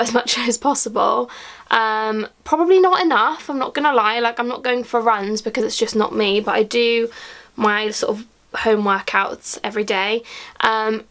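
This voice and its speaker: A woman's voice: fairly high pitch, fairly bright tone. A woman of twenty-six, chatting casually to camera while doing her makeup, rambling with ums and likes. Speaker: beauty vlogger. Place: small room indoors.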